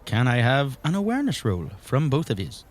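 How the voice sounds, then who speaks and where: A man's voice: mid-range voice, huge pitch swings, brisk, a little hiss or hum. A man narrating an improvised tabletop game as game master, conversational and wry.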